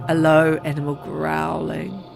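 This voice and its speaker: A woman's voice: sits low, flat delivery, a little slow, creaky, over music. A woman reading dramatic first-person visual novel narration aloud in a brooding, theatrical way.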